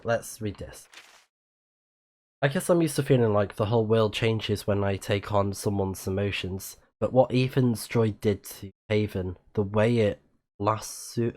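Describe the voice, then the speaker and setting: A man livestreaming a video game, giving casual commentary and reading game text aloud. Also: on the low side. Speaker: game streamer.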